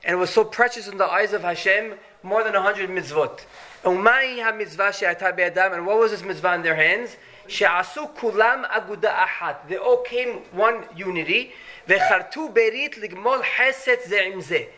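A middle-aged man born in Syria teaches Torah in a high, bright voice. He talks earnestly and keeps rising toward emphatic points. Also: normal speed. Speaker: rabbi giving a Torah talk. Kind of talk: Torah lecture.

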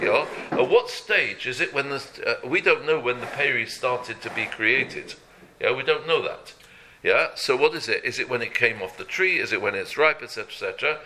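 A man teaching an informal Torah class, halting, repeating words and checking in with "yeah?". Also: mid-range voice, noisy background.

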